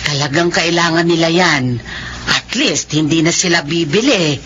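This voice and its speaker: A woman's voice: low voice, moderate pace, fairly bright tone, slight background noise. An actress playing a kindly, chatty older mother-in-law in a radio drama. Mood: friendly. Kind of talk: Filipino radio drama acting. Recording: lo-fi radio, faint music.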